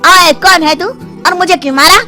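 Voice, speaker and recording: A woman voicing an excitable, incredulous male friend in a story, with loud exclaiming delivery. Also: extremely high pitch, moderate pace, soft background music, voice pushed up, exaggerated voice.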